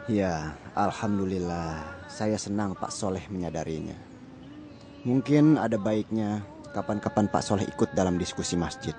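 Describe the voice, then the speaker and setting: A calm, wise village cleric with a low, deep voice, as an actor plays him. He speaks patiently, in a gentle counseling tone. It is a radio drama performance. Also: normal speed. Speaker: religious teacher.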